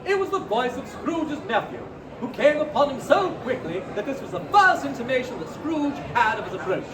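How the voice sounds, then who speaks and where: High-pitched male storyteller in echoey crowded hall. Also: sing-song, normal speed. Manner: theatrical, savouring phrases. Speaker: storyteller, live reader. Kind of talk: theatrical dramatic reading of a classic story. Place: live performance at a busy indoor fair. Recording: echoey crowded fair hall, distant noisy mic. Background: crowd noise.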